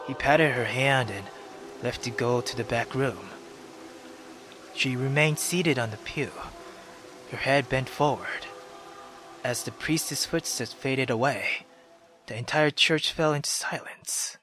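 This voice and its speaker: Slow-reading man over game music. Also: medium pitch. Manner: slow, steady storytelling with self-corrections. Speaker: gaming YouTuber. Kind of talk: reading a visual novel aloud.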